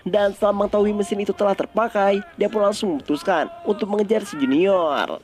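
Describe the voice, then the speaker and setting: High-pitched Indonesian male recap narrator. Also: fairly even intonation, a little fast, a little mellow, slight background noise. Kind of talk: informal scripted story narration.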